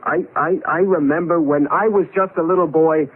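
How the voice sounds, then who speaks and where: An actor playing a desperate, insistent husband trapped in the past, in 1970s radio drama style on a thin old broadcast recording. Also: male voice, a little high, steady tone, moderate pace, husky, narrow, tinny audio. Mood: grim, resigned. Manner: urgent, insistent, emphatic.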